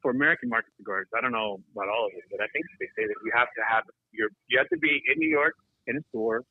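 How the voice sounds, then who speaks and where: A man's voice: mid-range voice, sing-song, racing, vocal fry, breathy edge, no echo. A man with a mid-range voice comes through a narrow, radio-like band with very little room sound. He talks casually as a guest, easygoing, stopping and restarting as he explains. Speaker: guest correcting pronunciation and commenting on cigars. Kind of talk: casual chat.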